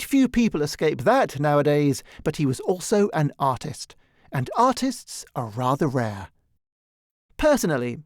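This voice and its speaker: A man narrating a literary story from a script in an expressive, measured storytelling manner. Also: on the high side, no room sound, studio quality. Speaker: audiobook narrator.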